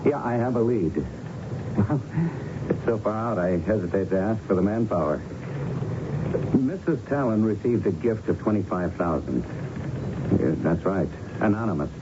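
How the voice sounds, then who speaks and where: An actor playing an earnest police lieutenant in a radio drama, plain-spoken and probing. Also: male voice, lowish voice, lively intonation, moderate pace, long pauses, close to an everyday voice. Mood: wry, persuading. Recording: muffled, noisy old radio broadcast.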